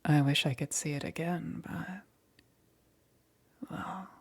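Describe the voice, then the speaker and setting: Woman acting a slow, breathy whispering demon queen. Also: low voice, steady tone, full character voice. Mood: gloating, amused. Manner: slow, taunting, drawn-out condescending delivery. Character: sadistic, teasing demon queen toying with cornered prey. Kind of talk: ASMR roleplay, whispered.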